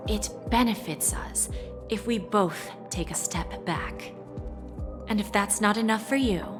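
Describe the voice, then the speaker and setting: A woman's voice: mid-range voice, natural voice. A woman voicing a cool, shrewd corporate negotiator, music behind. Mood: smoothly persuasive. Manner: composed, assured, deliberate phrasing. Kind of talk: dramatic video game voice acting.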